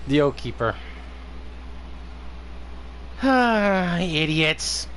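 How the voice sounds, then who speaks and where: A man doing casual livestream commentary on a game, halting as he stumbles over names and repeats words. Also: a little high, very animated, fairly bright tone. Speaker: game streamer. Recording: slight background noise on stream.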